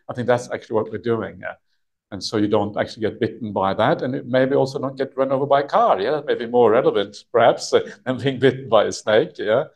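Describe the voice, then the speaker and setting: A middle-aged monk speaking at an ordinary pitch in a relaxed, soft way. He pauses often with uhs and little chuckles while he explains things to his listeners. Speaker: Buddhist monk teaching a sutta retreat. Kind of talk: relaxed dharma talk with Q&A. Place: meditation hall with shrine, indoors.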